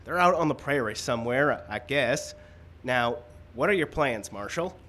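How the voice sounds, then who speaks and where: Male actor as western storekeeper, eager, anxious, folksy, medium pitch, radio drama, noisy live stage. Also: lively intonation, moderate pace, sharp tone. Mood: anxious, earnest. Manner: earnest, urgent, folksy. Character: anxious, eager frontier storekeeper readying to fight. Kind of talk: old-time radio western acting. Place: live radio show in a park. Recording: live outdoor stage, background noise.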